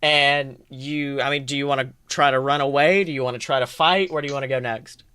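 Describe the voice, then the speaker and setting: Bright, forceful tabletop game master. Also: medium pitch, fairly even intonation, fairly quick, clear, resonant tone, dry recording. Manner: informal, swears casually. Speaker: game master and podcast host. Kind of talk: improvised narration of a tabletop game.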